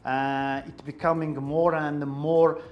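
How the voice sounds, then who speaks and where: Male discussion host, level intonation, halting search for words. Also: fairly high pitch, level tone, dull audio. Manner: hesitant, repeats phrases while searching for words. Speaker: English club host, interviewer. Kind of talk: club discussion in a second language.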